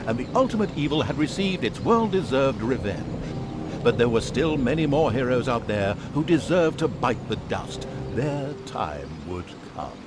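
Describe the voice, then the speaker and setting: Theatrical male game narrator, swooping pitch. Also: very animated, normal speed, noisy background. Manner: theatrical, wry, mock-grandiose. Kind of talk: scripted comedic fantasy narration. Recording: music behind the voice. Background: music.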